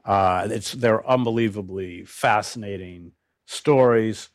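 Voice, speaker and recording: An older man with a fairly low-pitched voice talks as a seasoned journalist being interviewed. His delivery is grave and deliberate. He often halts and restarts a phrase as he recounts his reporting.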